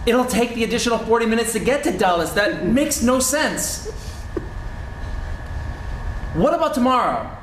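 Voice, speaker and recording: A man's voice: very high voice, lively intonation, moderate pace, long pauses, fairly bright tone. A man playing an earnest, conversational doctor near sixty, a courteous former geek, in a live stage play. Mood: businesslike, insistent. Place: indoor theater stage. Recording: distant, echoey theater stage with noise.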